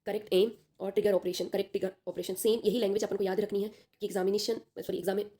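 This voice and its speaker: A woman's voice: medium pitch, little pitch movement, rapid-fire, mellow. A young woman with a sore throat teaching an online class, chatty and reassuring, checking in with her students often.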